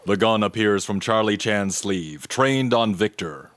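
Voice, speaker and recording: A man's voice: fairly low pitch, measured pace, fairly bright tone, no echo. A man reading scripted narration, describing scenes in the present tense for an audio drama.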